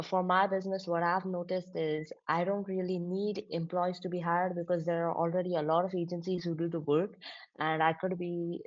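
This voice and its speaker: Female interview guest, founder, lowish voice, very dry sound. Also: no echo. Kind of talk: conversational interview answers. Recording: slightly dull online-call audio.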